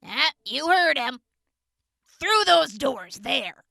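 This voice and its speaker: Woman as a creaky, high-voiced military receptionist. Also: very animated, slow, bright, forceful tone, no room sound, studio quality, heavily acted. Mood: official. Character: unhurried military receptionist at an army office. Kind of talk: scripted post-apocalyptic radio drama.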